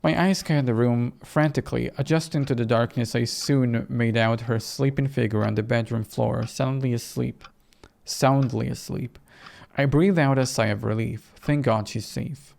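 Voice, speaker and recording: A narrator reading a first-person horror story dramatically, in a measured, suspenseful way. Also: medium pitch, steady tone, gravelly, darkish tone. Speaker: game narrator and reader.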